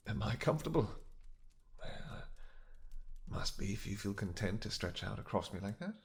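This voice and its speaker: A man playing a weary, gentle vampire king who speaks softly and haltingly to his beloved in a romantic fantasy audio roleplay. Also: on the low side, animated, vocal fry. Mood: affectionate. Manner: soft, halting, gentle, intimate. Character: weary, tender vampire king, doting on his pregnant wife.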